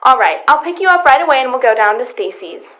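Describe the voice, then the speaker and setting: A woman's voice: high-pitched, sing-song, fast, a bit bright, faint music behind, rough recording. An actress playing a fussy, sweet middle-aged housewife who calls out in a motherly sing-song, in old-time radio comedy style.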